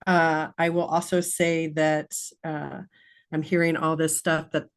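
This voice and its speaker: A woman's voice: deep voice, flat delivery, a little slow. A middle-aged woman from California giving an informal presentation over a video call as a guest speaker, sounding tentative and a little nervous. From Sonoma County, California. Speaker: 911 dispatcher, guest speaker.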